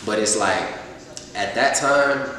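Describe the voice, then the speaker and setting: Laid-back young rapper, low voice. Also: male voice, slow, pushed and bright. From Atlanta, US. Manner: laid-back, rambling with many fillers. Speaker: rapper guest. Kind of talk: casual interview chat. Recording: echoey room, far from mic, faint noise.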